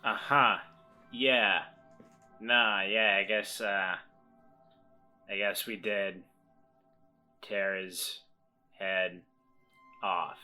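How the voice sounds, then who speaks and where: A man playing a tabletop roleplaying game, talking casually in a hesitant, deliberate way. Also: fairly low pitch, steady tone, pushed and bright, faint music behind. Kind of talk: casual game chat, some in-character talk.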